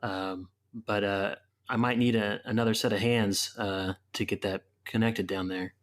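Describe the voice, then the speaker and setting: A man's voice: fairly low pitch, fairly even intonation, a little slow, natural voice. A man playing an easygoing, confident rebel adventurer in a tabletop game, with an unworried manner.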